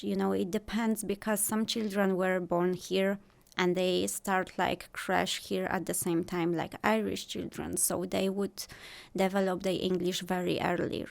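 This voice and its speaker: A woman in her thirties with a Polish accent, explaining her views calmly as a guest on a talk show. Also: mid-range voice, creaky. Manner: thoughtful, explanatory, frequent "like" and "you know". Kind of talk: casual interview talk.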